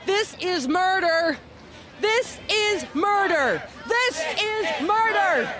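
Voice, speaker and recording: A woman's voice: very high-pitched, animated, slow, crowd noise, heavily acted. An actress playing a righteous female public crusader in an audio drama, declaiming with preachy emphasis. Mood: righteous, impassioned.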